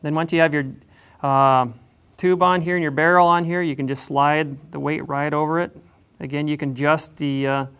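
A man explaining how to tie a drift fishing setup, in a plain, unhurried way with frequent pauses. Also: on the high side, a bit bright, clear, resonant tone. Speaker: fishing instructor. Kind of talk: instructional demonstration.

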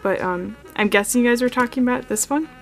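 A woman's voice: a little high, quick pace, vocal fry, a bit bright, faint music behind, low-quality recording. A woman hosting her own channel, giving a casual walkthrough in a carpeted room while filming close with a handheld camera. She talks in a chatty way, with many "like"s and "um"s. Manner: chatty, filler-heavy, frequent "like" and "um". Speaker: model horse channel host.

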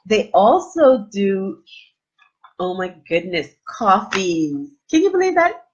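A woman's voice: mid-range voice, very animated, slow pace, breathy edge. A middle-aged woman hosting a casual live unboxing from a small room, gushing and exclaiming over what she shows, with many fillers. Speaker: livestream host. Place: small indoor room with plain wall. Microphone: webcam at desk distance. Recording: dull lo-fi webcam audio.

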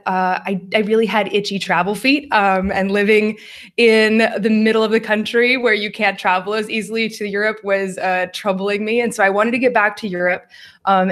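A woman's voice: mid-range voice, a bit bright. Young american woman doing a slide talk, chatty, lots of ums, laughs a bit. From United States. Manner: friendly, laughs often, fillers like um. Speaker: conference speaker. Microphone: voice over slides.

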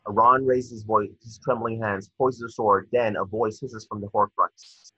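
Young male narrator for screenplay directions: husky, forceful, dry and slightly muffled. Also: lowish voice, fairly even intonation, a little slow, no room sound. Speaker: narrator reading stage directions. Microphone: computer mic on video call. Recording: dull, dry video-call audio.

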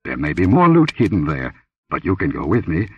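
A man voicing a gruff, commanding barbarian warrior who grunts out blunt orders. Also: low-pitched, wildly swooping pitch, a little fast, a little mellow, far from the mic, muffled recording, exaggerated voice.

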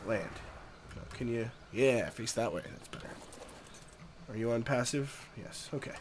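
A man's voice: medium pitch, unhurried, a little hiss or hum. Congested, nasal young man narrating a game. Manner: chatty, easygoing, talks to the creatures. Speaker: gameplay commentator. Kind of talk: casual gameplay commentary.

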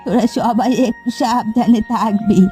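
Cartoon village granny actress: wary elder, high-pitched, mellow timbre, protective cautioning manner, music faintly behind. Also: female voice, fairly even intonation, moderate pace, lightly acted. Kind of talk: Bengali cartoon folk-tale dialogue.

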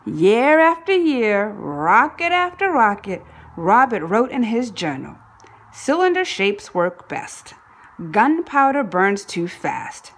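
A woman reads a children's story aloud at an unhurried pace. Her pitch moves with plenty of animation, and her voice has a husky, slightly rough edge. She phrases deliberately, giving key words dramatic weight. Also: big pitch swings. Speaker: storybook reader. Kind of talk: expressive children's read-aloud narration.

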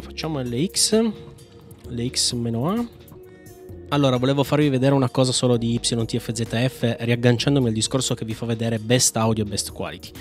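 Chatty man hosting a tech tutorial, music behind. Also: mid-range voice. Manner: informal, chatty, thinking aloud. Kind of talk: casual software walkthrough, talking while trying commands. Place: indoor room at a computer, screencast with small webcam.

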